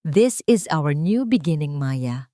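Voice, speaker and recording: A resolute wife and mother from an audio drama story, voiced by a woman narrator. She speaks in a measured, dignified way with a lowish female voice and very little room sound. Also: lively intonation, mellow, no echo, studio quality. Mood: tender reassurance. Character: Isabela, resolute wronged wife and gentle mother.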